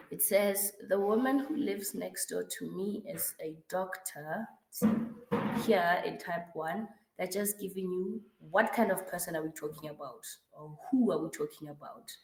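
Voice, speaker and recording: Woman tutor, hoarse from flu, raspy, slow unhurried delivery, lowish voice, roomy echo. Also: background noise. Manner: patient explaining with frequent checks like "right?" and "mm-hmm". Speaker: English tutor. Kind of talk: one-to-one online grammar lesson.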